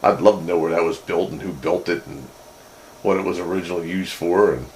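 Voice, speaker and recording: A man's voice: low-pitched, fairly quick, noisy background. A man in casual technical chat, musing aloud in a hesitant way and often rephrasing himself. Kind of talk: casual technical chat about vintage radio gear.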